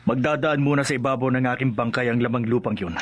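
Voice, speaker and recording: An actor playing a determined, protective young village husband in a horror radio drama, firm and earnest. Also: male voice, medium pitch, quick pace, close to an everyday voice. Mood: resolute.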